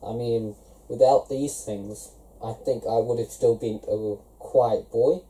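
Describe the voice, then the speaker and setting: A young man from South Africa explaining things step by step in a relaxed, casual vlog. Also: medium pitch, takes it slowly, rough recording. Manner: relaxed, explaining step by step, many "so" and "of course" links. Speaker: vlogger.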